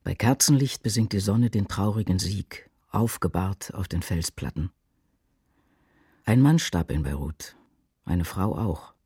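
A man reciting poetry for a radio production in deliberate, declamatory short statements. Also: low voice, lively intonation, darkish tone, breathy edge, no echo, studio quality, heavily acted. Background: music.

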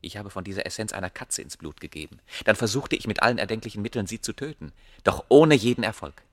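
An actor playing a proud, obsessive ancient scholar, speaking gravely and declaiming in a German radio play. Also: male voice, fairly low pitch, expressive, no room sound, clean studio sound. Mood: grave, intense. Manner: grave, declamatory, deliberate phrasing.

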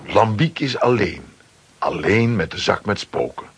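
A man's voice: lowish voice, lively intonation, a little slow, slight background noise. A man narrating a children's adventure story from a script, with dramatic delivery.

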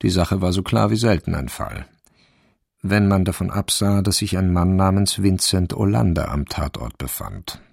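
Male narrator, radio crime drama: low register, pronounced vocal fry, scripted literary storytelling. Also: normal speed, darkish tone, no echo, clean studio sound.

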